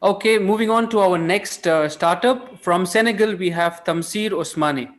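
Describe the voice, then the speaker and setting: Higher-pitched man moderating an online event. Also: moderate pace. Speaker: host of an online pitch competition. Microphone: video call.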